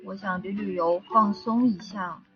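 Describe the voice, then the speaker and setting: A woman's voice: medium pitch, steady tone, normal speed, close to an everyday voice. A woman voicing a cartoon office worker who wants a break, in a language-lesson dialogue. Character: cartoon woman tired of work, planning a trip. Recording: slightly dull audio under music.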